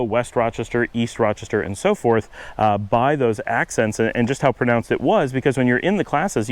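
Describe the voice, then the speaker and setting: Fast-talking man with a Buffalo accent. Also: mid-range voice. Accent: Buffalo-Cleveland American. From upstate New York. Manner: fast-talking, trying to slow down. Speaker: vlogger. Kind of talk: casual walking vlog. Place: outdoors on a windy street.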